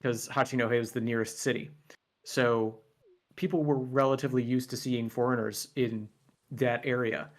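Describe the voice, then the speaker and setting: A man chatting casually as a guest, relaxed and careful as he explains. He tells his stories at an easy, measured pace. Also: mid-range voice. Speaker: guest YouTuber. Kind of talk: casual conversation.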